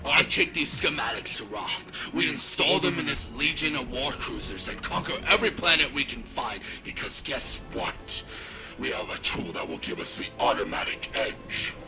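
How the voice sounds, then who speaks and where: A man's voice: medium pitch, lively intonation, normal speed, close to an everyday voice. An actor playing a sneering, boastful adult male droid villain in a fan audio drama, mocking and threatening. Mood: taunting. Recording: loud music underneath.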